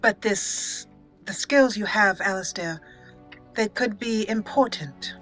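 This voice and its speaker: A woman's voice: medium pitch, slow pace, fairly bright tone. A woman giving casual, chatty, giggly commentary as host of a roleplay video game playthrough. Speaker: YouTube host doing a roleplay let's play. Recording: game music behind the voice. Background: video game music.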